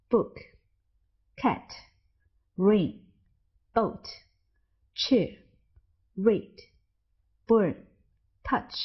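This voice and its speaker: A woman's voice: mid-range voice, slightly rough, dull audio. A Chinese woman with a Chinese accent, an English teacher, narrating a scripted lesson and carefully stressing example words. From China.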